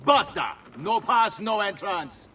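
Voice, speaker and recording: An actor playing a gruff, officious Italian boatman with an Italian accent in a video game. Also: a little high, wildly swooping pitch, moderate pace, fairly bright tone, slight background noise. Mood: bossy. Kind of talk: video game cutscene acting.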